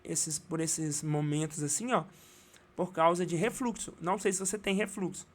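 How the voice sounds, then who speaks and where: A man with a Brazilian Portuguese accent teaching singing in a live online class, talking casually with many restarts and quick checks. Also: fairly high pitch, fairly even intonation. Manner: casual and rambling, many restarts and tag questions.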